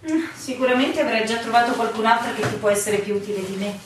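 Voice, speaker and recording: An actress playing a proud, disillusioned fiancée in a radio drama, theatrical and wounded. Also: female voice, on the high side, fast, close to an everyday voice. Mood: cold, hurt. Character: proud, disillusioned woman, a fiancée turning away. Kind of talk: Italian stage-style radio drama acting. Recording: very echoey, distant, clearly noisy room recording.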